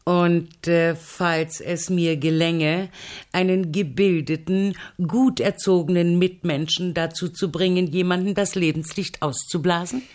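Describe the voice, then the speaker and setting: An actress playing a headstrong, sardonic middle-aged crime novelist in a radio play, assertive, dry and teasing. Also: female voice, fairly low pitch, fairly even intonation, measured pace, a little mellow, natural voice. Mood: provocative, confident. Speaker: radio drama actor. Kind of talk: German radio play dialogue.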